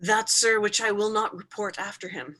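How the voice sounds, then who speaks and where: A woman's voice: medium pitch, moderate pace, bright, forceful tone. A woman playing a guarded night attendant in a Shakespearean staged reading.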